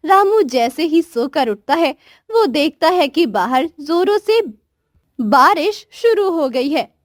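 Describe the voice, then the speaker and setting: A woman's voice: high voice, no room sound, studio quality. A woman reading scripted narration for a moral story.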